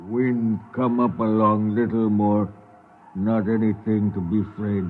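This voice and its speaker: An actor in a 1950s radio drama playing a meek, wheedling alien spider native who speaks in clipped, broken pidgin. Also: male voice, fairly low pitch, little pitch movement, unhurried, a little hiss or hum, natural voice. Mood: placid, obliging. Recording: tinny, muffled old radio recording.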